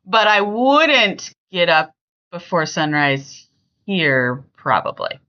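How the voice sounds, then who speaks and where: A woman's voice: lowish voice, very animated, takes it slowly, sharp tone, lo-fi sound. A middle-aged woman hosting a podcast, chatting casually and emphatically with family. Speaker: podcast host.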